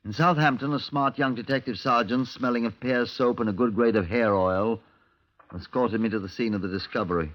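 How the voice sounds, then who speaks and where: An actor playing a dry, irritable British chief inspector in a 1950s radio drama, sardonic and curt. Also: male voice, mid-range voice, a little fast, natural voice. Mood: dry, wry. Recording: dull lo-fi old radio recording.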